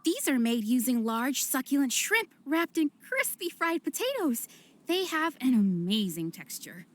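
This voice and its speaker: A woman's voice: big pitch swings, measured pace. A woman voicing a polite, cheerful young inn waitress in a video game, with an eager, courteous patter. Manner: courteous, sales-pitch enthusiasm.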